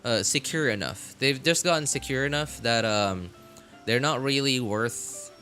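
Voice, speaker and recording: A man's voice: medium pitch, measured pace, bright, forceful tone, faint music behind. A man chatting casually as a game streamer, rambling and thinking aloud to his viewers. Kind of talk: casual rambling livestream chat.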